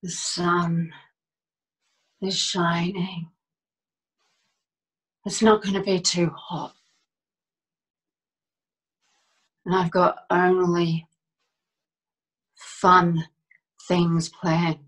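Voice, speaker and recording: Woman acting a distraught, high-voiced, slow-speaking woman. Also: animated, fairly bright tone, heavily acted, rough recording. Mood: wistful, subdued. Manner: halting, with long pauses and repeated phrases. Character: distraught, bewildered woman whose life has suddenly fallen apart. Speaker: monologue performer. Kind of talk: dramatic stage monologue.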